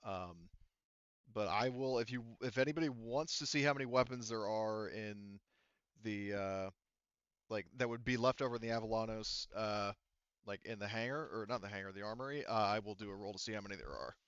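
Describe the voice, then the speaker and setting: A man running a tabletop sci-fi game as game master, explaining haltingly and often circling back to rephrase. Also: on the low side, fairly bright tone, smooth, no room sound, muffled recording.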